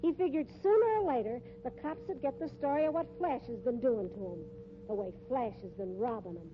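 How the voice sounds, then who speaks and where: A woman's voice: on the high side, fairly quick, lightly acted. An actress playing a bold, shrewd circus sideshow woman in a 1950s radio mystery, scornful and slangy. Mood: explaining, insistent. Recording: muffled, noisy old radio recording.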